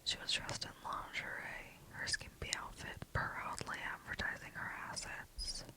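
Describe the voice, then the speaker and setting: A storyteller whispering a first-person story in a soft, hushed voice throughout. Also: low-quality recording.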